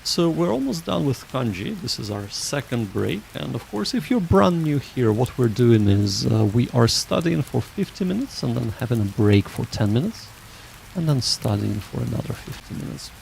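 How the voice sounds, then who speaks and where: A man with a creaky, gravelly voice at a middling pitch hosts a casual livestream. He talks to viewers in a halting, meandering way, restarting his sentences. A faint rain-like ambience runs behind his voice. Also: big pitch swings, darkish tone, slight background noise. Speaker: livestream host.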